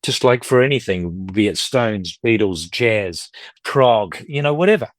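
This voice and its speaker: A man's voice: lowish voice, expressive, measured pace, dry recording. A man in his fifties, a record dealer and collector, chatting as an interview guest in a rambling, upbeat way. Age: 50s. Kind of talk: casual interview chat.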